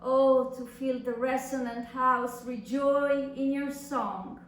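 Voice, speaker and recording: A woman's voice: sits high, fairly even intonation, slow. A woman reading love letters as a languid, ardent male poet, lyrical and dreamy. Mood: dreamy ardour. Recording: echoey room from far off, faint noise.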